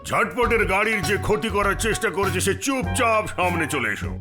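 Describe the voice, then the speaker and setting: A man's voice: sits high, expressive, fairly quick, music in the background. A man voicing a pompous, blustering cartoon uncle, full of threats and self-justification. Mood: threatening. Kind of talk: exaggerated cartoon dubbing.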